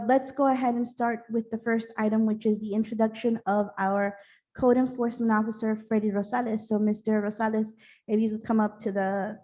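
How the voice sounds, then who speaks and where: A woman serving as mayor, chairing a town council meeting in a brisk, informal way and interpreting into Spanish herself. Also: on the high side, dark tone, highs cut off.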